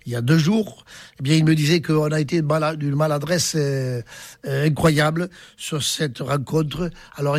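A man's voice: a little high, no echo, clean studio sound. A man presenting a local sports segment on radio, enthusiastic with occasional hesitations.

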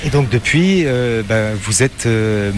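A man interviewing a guest, prompting him with quick, friendly questions. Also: medium pitch, animated, noisy background. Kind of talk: casual interview conversation.

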